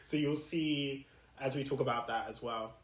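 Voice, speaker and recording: A man's voice: medium pitch, fairly even intonation, moderate pace, a little hiss or hum. Reflective South London man, echoey room. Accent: South London English. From South London, England. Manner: thoughtful, with frequent fillers and restarts. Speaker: podcast host. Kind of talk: reflective solo podcast monologue.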